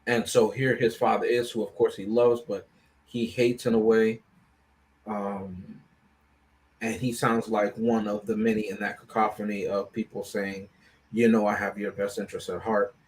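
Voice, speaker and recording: A man with a fairly low voice and very little rise and fall in pitch. He talks as a movie review panelist, explaining things in a measured, deliberate and casual way. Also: little pitch movement, a little hiss or hum, low-quality recording. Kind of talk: casual film discussion and synopsis.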